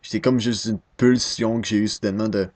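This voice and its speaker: A man's voice: lowish voice, steady tone, a little fast, darkish tone. A nineteen-year-old man with a Québécois accent talking into his webcam at home, hesitant and self-deprecating, stumbling and restarting often. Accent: Québécois French. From Quebec, Canada. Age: 19, young adult. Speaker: first-time vlogger. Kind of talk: casual rambling webcam talk.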